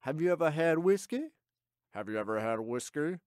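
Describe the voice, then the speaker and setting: A man voicing a pushy whiskey pitchman who repeats himself insistently. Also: lively intonation, moderate pace, a little mellow, smooth, no room sound, clean studio sound, close to an everyday voice. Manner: insistent, repetitive, rising. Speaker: voice actor.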